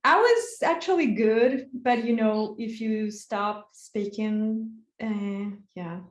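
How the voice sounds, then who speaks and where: A young woman from Colombia whose first language was Portuguese, speaking as an interview guest, soft-spoken with frequent fillers. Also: on the high side, slow, reverberant. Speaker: guest artist. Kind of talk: casual interview chat.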